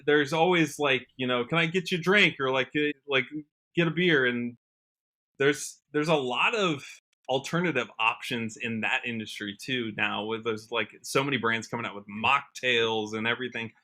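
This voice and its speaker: A man's voice: mid-range voice, normal speed, pushed and bright. A young American man talking casually and upbeat as a guest in an interview, peppering his talk with "like". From Washington state, United States. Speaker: podcast guest, country musician.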